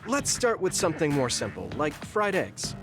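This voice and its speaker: A man playing an earnest, steady young soldier in a video game, speaking in a measured, kindly way. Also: fairly high pitch. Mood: gentle, encouraging. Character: earnest young male soldier, kind, steady, easily exasperated. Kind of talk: English video game voice acting. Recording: music behind the voice.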